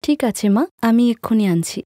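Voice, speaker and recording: Woman as a meek, high-voiced young wife. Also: lively intonation, normal speed, dark tone, slight background noise, natural voice. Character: dutiful, gentle young eldest daughter-in-law.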